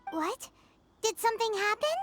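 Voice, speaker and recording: A woman's voice: lively intonation, moderate pace. A woman voicing a small, perky, outspoken childlike fairy companion in an anime-style video game. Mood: surprised. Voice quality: squeaky, childlike. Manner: speaks of self in third person. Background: game music.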